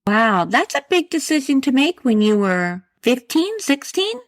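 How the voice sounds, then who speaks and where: A woman's voice: high voice, sing-song, a little slow, no echo, clean studio sound. A woman hosting a casual interview, chatting with her guest and asking questions. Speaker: podcast host, interviewer.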